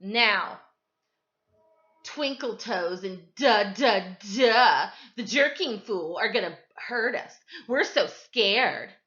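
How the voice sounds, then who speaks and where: A woman's voice: mid-range voice, expressive, slow pace, bright, forceful tone, dull audio. A woman voicing a mean, mocking schoolboy bully from a storybook, with a taunting sneer.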